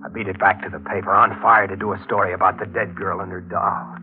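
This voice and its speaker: A man's voice: deep voice, little pitch movement, quick pace, phone-quality sound. An actor playing a brooding, obsessive newspaper reporter who narrates his own mystery in intense 1940s radio style. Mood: brooding, tense. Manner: intense, dramatic. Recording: band-limited old 1940s radio, music behind.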